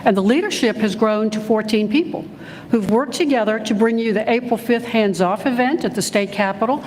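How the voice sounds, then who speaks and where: Woman, slightly high pitch, rally speech, echoing hall. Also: brisk, a little mellow. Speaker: activist group speaker. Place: large church hall, live event. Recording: noisy, reverberant hall PA recording.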